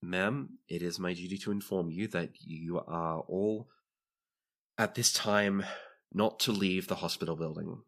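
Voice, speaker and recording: A man playing a low-voiced, courteous hospital attendant. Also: fairly even intonation, a little slow, fairly bright tone, heavily acted. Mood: formal, dutiful. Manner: formal, polite, deferential. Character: courteous, dutiful male hospital attendant, formal and patient.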